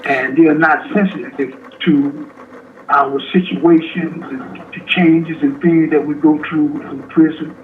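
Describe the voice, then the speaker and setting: A man in his seventies, in prison, speaking slowly and haltingly in an interview, with many restarts. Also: on the high side, steady tone. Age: 70s. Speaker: incarcerated interviewee. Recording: tinny phone line with faint hiss.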